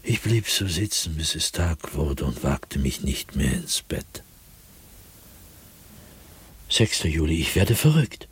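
An actor playing a tormented man sliding into madness, recounting his nightmares as a diary in a radio drama, in a brooding, halting manner that swings between hushed and agitated. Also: a bass voice, animated, husky, heavily acted. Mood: despairing, frightened. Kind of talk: dramatic radio play monologue.

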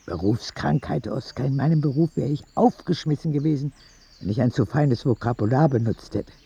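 A woman's voice: rumbling low, a little fast, vocal fry, warm tone, husky, exaggerated voice. Salty, blunt old lady. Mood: sly, proud. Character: feisty, foul-mouthed, kindly very old hospital lady. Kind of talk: radio drama dialogue.

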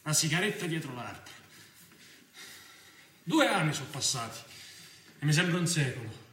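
An actor playing a restless, foul-mouthed young fugitive in a theatrical monologue, with a Roman accent and a colloquial manner. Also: male voice, on the high side, moderate pace. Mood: brooding, fed up. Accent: Roman Italian. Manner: colloquial, swearing, swinging from brooding to excited play-acting. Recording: roomy, distant mic with faint noise.

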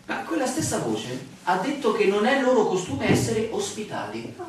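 An actor playing a weary, bewildered male stranger telling his story with deliberate theatrical emphasis in a radio play. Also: high-pitched, sing-song. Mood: weary, absorbed recounting. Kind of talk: theatrical radio drama monologue. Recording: very echoey, distant, noisy radio play recording.

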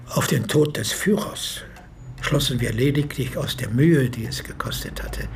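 A man's voice: medium pitch, creaky, a little hiss or hum. An actor playing an 86-year-old former army medic who recounts his memories as a solemn, precise eyewitness in a radio play. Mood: solemn, matter-of-fact. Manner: measured, precise, formal storytelling. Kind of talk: radio play monologue, reminiscence.

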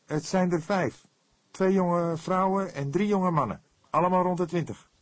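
A man voicing an impetuous, hot-headed young detective in an audiobook, excitable and indignant. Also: high voice, normal speed, no echo, pitched above the performer's own voice, close to an everyday voice. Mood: eager, businesslike. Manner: loud, excitable, heated delivery.

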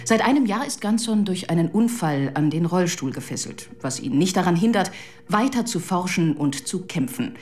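Actress as woman presenter, lowish voice, formal and composed, scripted, radio play. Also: expressive, normal speed, a little mellow, close to an everyday voice. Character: formal female presenter introducing a guest. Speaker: presenter introducing a guest.

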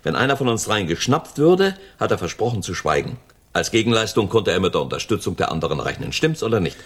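Calculating crook in a radio crime play, raspy, really animated, lectures hard. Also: male voice, low-pitched, fast, full character voice. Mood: insistent, heated. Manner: emphatic, lecturing, precise. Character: hard-nosed, calculating male gang member in a crime drama. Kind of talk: German radio crime drama acting.

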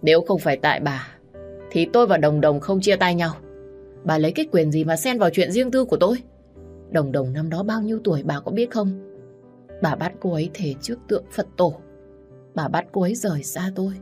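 A woman voicing a grieving, furious young man whose voice trembles and turns hoarse. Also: low-pitched, normal speed, soft background music, natural voice.

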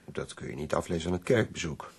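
An actor playing a concerned, deferential visitor in a radio drama, speaking quietly and politely in a measured way. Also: male voice, sits low, exaggerated voice. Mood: polite, questioning. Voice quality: raspy, very creaky. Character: concerned, deferential male visitor to an ailing master. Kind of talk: restrained radio drama acting.

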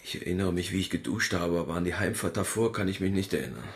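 An actor playing a desperate, indignant accused doctor in an agitated manner, in a German radio crime drama. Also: male voice, low voice, expressive, quick pace. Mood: subdued, dazed. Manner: agitated, swinging between whisper and outburst.

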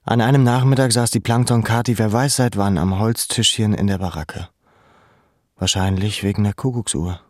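An actor playing a reflective male camp inmate in a literary audio drama, narrating and speaking in a measured, understated way with a gravelly voice. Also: fairly low pitch, no echo, clean studio sound, natural voice. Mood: quiet, observant.

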